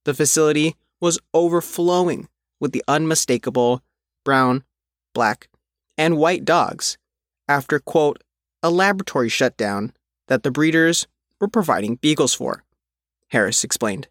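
A man reading a news article as its reporter, in measured, phrase-by-phrase scripted narration. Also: on the high side, a bit bright, no room sound, studio quality. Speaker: reporter reading their own written article.

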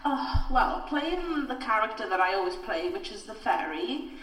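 A woman's voice: a little high, very animated, normal speed, a bit bright, recorded from a distance. A young woman with a Welsh accent chats openly about her stage work, laughing easily and padding her sentences with fillers. Her voice sits back from the microphone in a roomy, echoing space. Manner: chatty, laughs often, frequent fillers. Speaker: actor, interview guest. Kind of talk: casual interview talk. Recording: echoey room, voice from off mic.

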